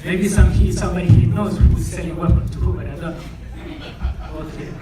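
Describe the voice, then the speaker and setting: A man's voice: sits high, steady tone, loud music behind the voice. Man, echoey theater. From Congo. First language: French. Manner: halting, restarts phrases, searches for words. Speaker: film subject in onstage Q&A. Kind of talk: onstage Q&A answer. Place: theater stage. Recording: distant, echoey theater recording with noise.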